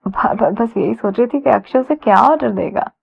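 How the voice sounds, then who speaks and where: A woman's voice: fairly high pitch, brisk, vocal fry, slightly rough. Woman narrating a story, slightly muffled. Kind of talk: conversational romance story narration. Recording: dull lo-fi sound.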